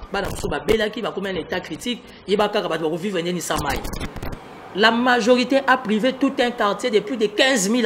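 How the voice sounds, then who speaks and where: High-pitched, emphatic Congolese man. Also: background noise. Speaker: political analyst being interviewed. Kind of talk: political commentary in an interview.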